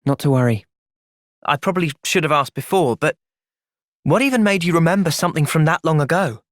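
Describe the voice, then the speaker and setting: A man voicing an earnest, thoughtful young soldier in a video game, with an English accent and a measured, sincere delivery. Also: on the high side, no echo, studio quality, close to an everyday voice. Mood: calm, earnest. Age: young adult. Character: earnest, thoughtful young male soldier, gentle but resolute. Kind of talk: video game cutscene acting.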